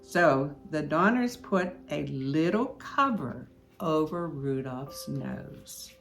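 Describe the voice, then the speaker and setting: An older woman reading a children's storybook aloud in an expressive, unhurried way. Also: low voice. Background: music.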